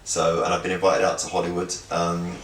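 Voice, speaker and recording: A man, an actor being interviewed at home, telling stories in a lively way and often asking "right?". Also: low-pitched, fairly quick, reverberant, far from the mic, slight background noise. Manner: animated storyteller, repeats phrases, says "right?" often. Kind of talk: casual interview chat. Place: his home, indoors.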